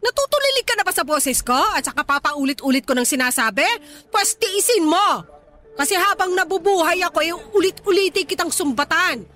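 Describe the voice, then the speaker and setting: A woman's voice: very high voice, lively intonation, a little fast, exaggerated voice. An actress voicing a middle-aged poor mother who scolds and blames her grown daughter, in a radio drama. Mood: scolding. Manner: sharp, reproachful, nagging. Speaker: actor.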